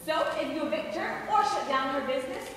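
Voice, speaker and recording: A bossy, self-assured wife acted by a young woman on stage, high-voiced with lively swings. She sounds far from the mic in a big reverberant hall. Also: brisk. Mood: commanding. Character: bossy, self-assured wife who rules her husband. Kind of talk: high school stage comedy acting. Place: school theater stage, recorded from afar. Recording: noisy echoey hall, distant mic.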